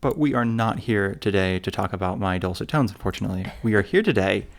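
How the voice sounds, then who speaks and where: A man's voice: on the low side, animated, fast. A man co-hosting a travel podcast in casual chat, rambling and joking at his own expense. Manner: rambling, self-mocking, full of fillers and restarts. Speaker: podcast co-host.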